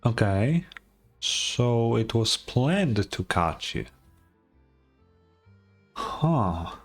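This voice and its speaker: Slow, very low-voiced woman reacting. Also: big pitch swings, breathy edge, soft background music. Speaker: game streamer. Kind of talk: casual reactions to a video game.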